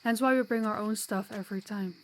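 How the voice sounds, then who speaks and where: A woman's voice: mid-range voice, a little fast, slight background noise, close to an everyday voice. Female vampire guide voiced by a woman, mid-range voice, chatty, kind and teasing, for an audio roleplay. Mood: friendly, explanatory. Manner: chatty, reassuring, playful.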